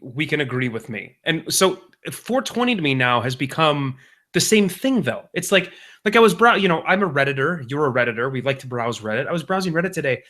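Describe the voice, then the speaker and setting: Sarcastic man with a bright voice. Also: a little high. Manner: sarcastic, dry complaining. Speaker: podcast co-host. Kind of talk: casual chat.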